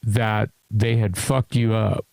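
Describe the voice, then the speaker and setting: A man co-hosting a podcast, talking in an animated, profane, jokey way. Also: lowish voice, takes it slowly, vocal fry, dry recording. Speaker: podcast co-host. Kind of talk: casual podcast chat.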